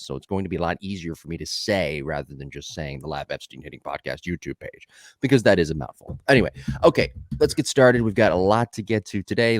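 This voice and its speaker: A man's voice: low-pitched, dry recording, studio quality. A man hosting a sports podcast, chatting in an upbeat, talkative way that drifts off on tangents. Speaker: podcast host. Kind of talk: casual podcast chat.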